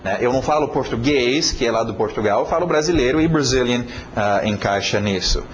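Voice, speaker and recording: A man's voice: mid-range voice, animated, a little fast, muffled recording, a little hiss or hum. A man with an American accent, a native English speaker, teaching a video lesson and stretching out words and chanting rhythm syllables to demonstrate them. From United States.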